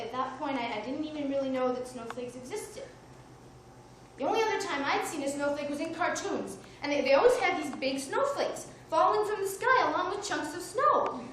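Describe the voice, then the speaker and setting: A woman from Mexico delivers a wry, self-mocking stage monologue in a fairly high voice. She is caught from far off by a room mic, with heavy echo and clear noise around her, like a live theater recording. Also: lively intonation, normal speed. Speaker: solo stage performer. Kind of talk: one-woman comedy show monologue.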